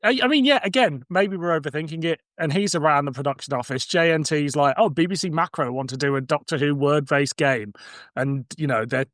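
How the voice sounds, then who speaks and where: A man with a British accent in relaxed, informal podcast conversation. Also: fairly high pitch, fairly quick, no echo, studio quality. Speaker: podcast host or guest. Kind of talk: casual chat.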